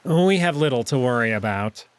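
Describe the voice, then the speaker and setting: A man voicing a stern, scheming jackal noble in a visual novel, brisk, terse and commanding. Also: medium pitch, animated, clear, resonant tone, natural voice. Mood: quiet, assured.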